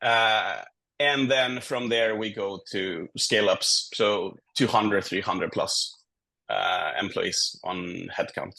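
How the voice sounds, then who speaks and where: A man from Greece talking conversationally as a consultant and guest in a business interview, candid and measured, laying out his points in order. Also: on the low side, gravelly, bright, forceful tone.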